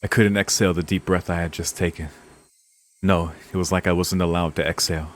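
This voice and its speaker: A man's voice: deep voice, fairly even intonation, a little fast, slight background noise. A man streaming a visual novel, reading the narration aloud with dramatic, casual, sweary reactions. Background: game music.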